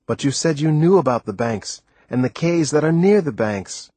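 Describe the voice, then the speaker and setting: A man's voice: fairly high pitch, expressive, moderate pace, darkish tone, breathy edge, dry recording, voice pushed up. A man playing an anxious young American boy who speaks in plain questions in an audiobook. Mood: anxious questioning. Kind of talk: audiobook character voice.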